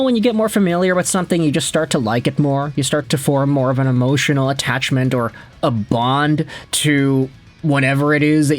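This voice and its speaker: Young male host with a slightly high voice, loose and conversational, for casual solo sports commentary. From Philippines. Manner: chatty, conversational, with lively jumps in pitch. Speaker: hockey channel host.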